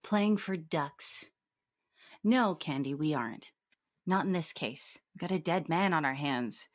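A woman's voice: low-pitched, unhurried. An actress playing a tough, guarded female police detective with a terse, wry manner in a radio drama. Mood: stern. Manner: terse, hard-boiled, wry.

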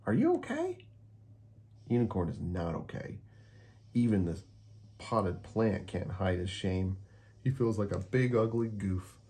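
A man reading a children's storybook aloud as an expressive narrator. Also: sits low, measured pace, breathy edge. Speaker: storyteller, host of a read-aloud show.

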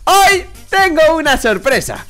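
A man with an accent from Spain hosting a video game session, chatting in an excited, bantering way. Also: very high voice, measured pace, faint music behind. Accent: Spanish from Spain. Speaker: gaming video host. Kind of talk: excited Minecraft gameplay chat.

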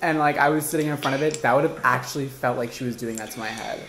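A man in his twenties giving casual, exclamatory reaction commentary to camera in a campy, animated manner. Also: mid-range voice, fast talker, roomy sound, slight background noise. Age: 20s. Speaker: hairdresser and video host. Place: indoor studio with paper backdrop.

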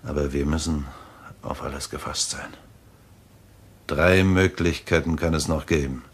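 Grim, very deep-voiced husky husband. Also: male voice, unhurried, exaggerated voice. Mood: grim resolve. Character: Louis, grimly resolved husband with a revolver, obsessed. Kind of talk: German thriller radio play acting.

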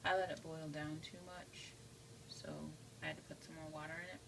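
A woman's voice: deep voice, flat delivery, normal speed, vocal fry, noisy background. A woman giving a casual step-by-step tutorial in an informal way, often checking in with the viewer, recorded on a handheld phone in a home kitchen. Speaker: amateur how-to video maker. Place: home kitchen, indoors at the stove. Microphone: handheld phone behind the camera.

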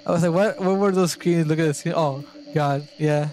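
A man's voice: on the high side, fairly even intonation, normal speed, noisy background. A young man, chatting casually through a gameplay guide and sometimes hunting for words. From Argentina. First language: Spanish. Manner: chatty, searches for words, swears at the game. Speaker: gaming YouTuber.

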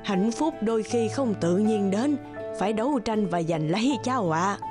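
A woman's voice: medium pitch, lively intonation, over music, lightly acted. Woman acting a kindly old fairy woman. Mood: kindly, encouraging. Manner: reassuring, measured. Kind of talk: cartoon fairy tale acting.

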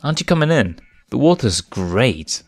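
A man's voice: mid-range voice, big pitch swings, moderate pace, dry recording, soft background music, pitched above the performer's own voice. A man voicing a cheeky, carefree young male lion cub in a lightly raised voice.

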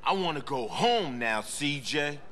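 An actor playing a burned-out rapper in recovery, speaking slowly and hesitantly. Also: male voice, on the high side, expressive, bright, forceful tone. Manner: slow hesitant mumbling.

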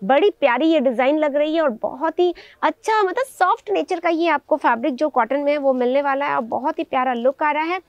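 A woman's voice: sits high, a little fast, hardly any pauses, no room sound, lo-fi sound. Chatty young woman showing off sarees. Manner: chatty, enthusiastic, addresses viewers directly. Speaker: host presenting sarees. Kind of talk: casual product showcase. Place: indoors in a saree shop.

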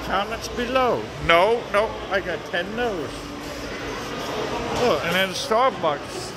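High-voiced man vlogging in a noisy mall. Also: lively intonation, takes it slowly. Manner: joking, rambling commentary. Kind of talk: casual walking vlog. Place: busy indoor shopping mall. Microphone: handheld phone camera.

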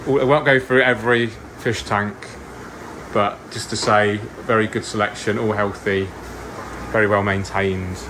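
A man's voice: lowish voice, fairly even intonation, moderate pace, noisy background. A man hosting an aquascaping vlog, giving a chatty, enthusiastic tour of an aquarium shop, recorded on a handheld camera. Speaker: aquascaping channel host.

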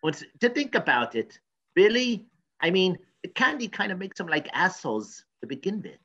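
A man's voice: sits high, very animated, normal speed, pushed and bright, highs cut off, exaggerated voice. A man playing a cranky, pompous professor in a comedy news sketch, rambling and stammering. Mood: disgusted ranting. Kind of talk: improvised mock news interview comedy.

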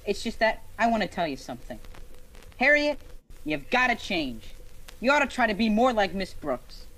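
Casting: actress as gushing, gawky teen schoolboy for radio comedy, widely swooping intonation. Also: female voice, medium pitch, very animated, moderate pace, fairly bright tone, rough recording. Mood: dreamy, earnest. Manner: gushing, slangy. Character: excitable, gawky teenage schoolboy. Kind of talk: old-time radio comedy acting.

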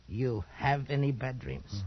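An actor playing a nervous, slyly dismissive Portuguese trader with a Portuguese accent, a husky gravelly voice and hesitant broken English. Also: male voice, mid-range voice, very animated, unhurried. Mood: uneasy, insinuating. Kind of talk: 1940s radio acting. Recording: dull old radio recording.

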